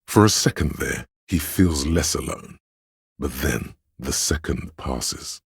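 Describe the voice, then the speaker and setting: A man voicing a brooding inner voice that narrates a police drama in a game, with a very deep, gravelly, husky delivery, measured and solemn. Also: no room sound, clean studio sound, heavily acted. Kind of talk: literary scripted narration.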